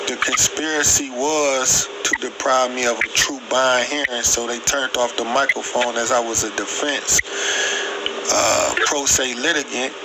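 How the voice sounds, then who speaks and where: A man calling in a complaint by phone, recounting incidents with repetition and emphatic wording. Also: medium pitch. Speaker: caller making a complaint. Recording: noisy, radio-like phone call. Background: loud background noise.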